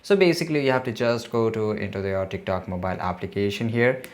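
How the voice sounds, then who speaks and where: A man's voice: lowish voice, fast talker. A man hosting a casual how-to tutorial, talking listeners through steps in an instructional way. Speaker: tutorial host. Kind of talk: casual step-by-step screen tutorial narration.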